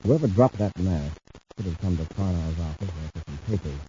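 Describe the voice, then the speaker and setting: An actor playing a brisk, authoritative space patrol commander in a mid-century radio serial style, with clipped delivery. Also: male voice, low voice, expressive, mellow. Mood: brisk, decisive. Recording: muffled, hissy old radio broadcast.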